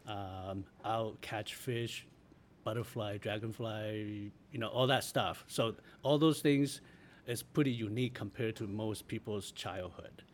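A middle-aged man from Taiwan reminiscing casually in an interview, in a halting, self-correcting way. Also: on the low side. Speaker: interview guest, architect. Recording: noticeable background noise.

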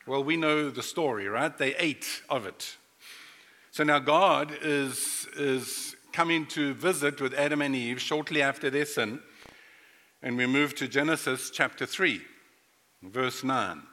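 A man's voice: medium pitch, unhurried, pushed and bright, big-room sound. A middle-aged man from Africa, preaching a playful sermon that speaks directly to his congregation in a church sanctuary. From South Africa. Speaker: pastor.

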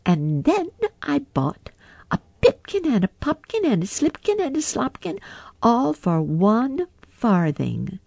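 A woman voicing a chorus of tiny, rude, merry mouse tailors who chant nursery rhymes in a high sing-song. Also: huge pitch swings, slow pace, vocal fry, a little mellow, dry recording, exaggerated voice. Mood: merry, teasing.

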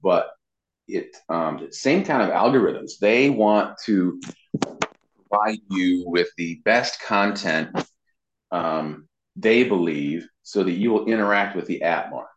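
A man's voice: deep voice, takes it slowly, echoey room, dull audio, a little hiss or hum. A man giving casual, explanatory answers as an interview guest, stressing his points by repeating them. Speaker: interview guest, web marketing consultant and voice actor.

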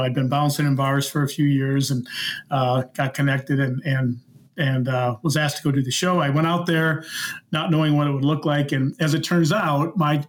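A middle-aged or older American man telling personal stories casually as a guest, plain-spoken and self-deprecating. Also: on the high side. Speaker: podcast guest.

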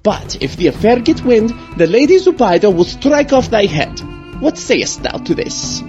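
Confiding palace eunuch, really sing-song and theatrical, audio drama, faint music behind. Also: male voice, high-pitched, big pitch swings, normal speed, darkish tone, highs cut off. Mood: conspiratorial. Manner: theatrical, storybook diction. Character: palace eunuch go-between, male, knowing and confiding. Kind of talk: audio drama acting of an archaic tale.

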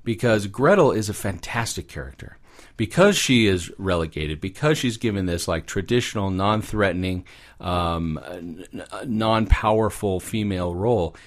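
A man's voice: fairly low pitch, lively intonation, moderate pace. A man speaking as a guest expert, explaining fairy tales in a conversational way with little acted asides. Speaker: guest expert, psychology professor.

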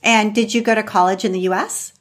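A woman's voice: medium pitch, steady tone, brisk. A woman hosting a casual interview, asking questions and responding in an informal, affirming way.

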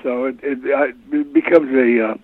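An older man, an actor being interviewed, telling stories in a rambling, halting way with many fillers and restarts. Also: mid-range voice. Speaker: guest actor. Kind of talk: relaxed interview storytelling. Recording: tinny phone line.

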